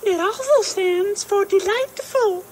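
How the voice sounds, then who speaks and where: Very high falsetto puppet damsel. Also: male voice, takes it slowly, slight background noise. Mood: delighted. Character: dainty, sweet, daffy young damsel puppet. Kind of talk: puppet storybook skit for children.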